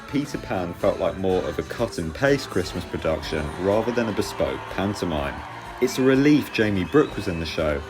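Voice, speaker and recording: A British man giving a scripted theatre review as host, in a measured, articulate and opinionated way. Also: low voice. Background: music under the voice.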